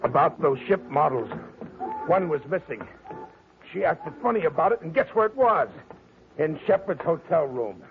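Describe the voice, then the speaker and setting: An actor playing a hot-headed, rough sailor with a gruff, blunt, slangy manner in an old-time radio detective drama. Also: male voice, on the high side, sing-song, normal speed. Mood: uneasy, explaining. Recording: noisy, tinny old-time radio recording.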